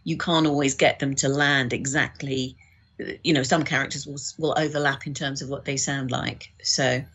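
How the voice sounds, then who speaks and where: A woman's voice: a bass voice, fairly even intonation, normal speed, a bit bright, husky. A woman talking casually and explaining things as an audiobook producer in an interview. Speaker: audiobook producer, podcast guest.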